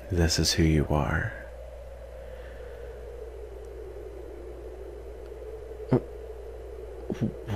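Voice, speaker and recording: A man playing a guarded, weary yet secretly tender vampire in an intimate ASMR roleplay, soft-spoken with halting pauses. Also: low-pitched, a little hiss or hum. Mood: wary, bitter.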